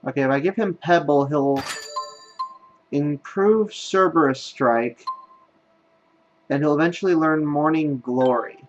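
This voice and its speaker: A man's voice: on the high side, unhurried, long pauses, dull audio, slight background noise. A man hosting a gaming video, thinking aloud in casual commentary as he plays. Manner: thinks aloud while playing. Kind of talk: casual let's play commentary.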